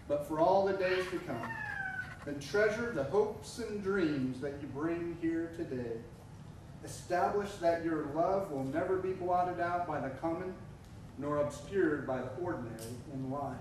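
Middle-aged male minister, higher voice, solemn and measured, heard from far off in a reverberant church. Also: a little mellow, slight background noise. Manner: measured, solemn liturgical delivery. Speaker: officiating minister. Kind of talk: wedding ceremony officiating. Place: church sanctuary. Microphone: far camera.

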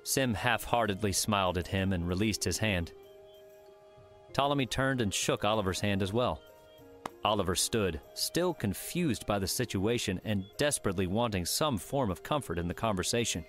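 A man's voice: lowish voice, expressive, normal speed, fairly bright tone, soft background music. A man reading scripted audiobook narration.

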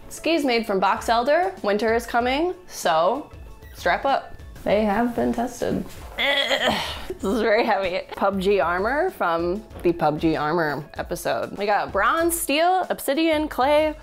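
A woman's voice: fairly high pitch, very animated, measured pace, faint music behind. A woman in her thirties hosting a casual show-and-tell, joking and laughing often, recorded in an indoor studio with an off-camera mic. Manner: playful, jokey, laughs often. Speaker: host. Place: indoor studio with a blue wall.

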